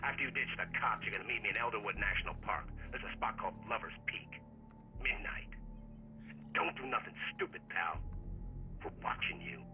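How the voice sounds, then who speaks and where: A menacing kidnapper on the phone in a game. He rattles out slangy orders in a lowish voice, quick and threatening, with clear music underneath. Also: bright, forceful tone, poor audio. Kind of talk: video game voice acting.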